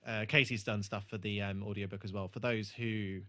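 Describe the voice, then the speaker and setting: Low-voiced, sweary man chatting casually. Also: flat delivery, normal speed, pushed and bright. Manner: hesitant, frequently sweary. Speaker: podcast co-host. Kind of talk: casual chat, re-watch commentary.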